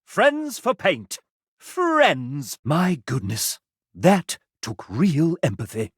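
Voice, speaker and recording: Casting: droll, fussy butler-like quiz host for a game. Highish voice, hugely animated range, theatrical and formal. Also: male voice, drawn-out words, breathy edge, dry recording, clean studio sound, exaggerated voice. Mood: showy, wry. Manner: pompous, droll, formal, exaggerated delivery. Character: suave, fussy male game-show host, theatrical and deferential butler type. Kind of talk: theatrical scripted game show presenting.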